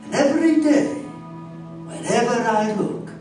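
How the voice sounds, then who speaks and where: Man acting a haunted, high-voiced horror narrator. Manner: grave, theatrical, deliberate storytelling. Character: haunted colonial Englishman recounting a horror tale. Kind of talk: dramatic narration in live radio theater. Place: live radio theater stage. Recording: very echoey stage, distant mic, music behind.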